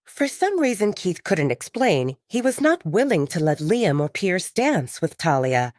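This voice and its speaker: Expressive woman narrator, lively lowish pitch. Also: unhurried, dry recording, clean studio sound. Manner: expressive storytelling. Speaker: audiobook narrator. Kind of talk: scripted dramatic fiction narration, third person.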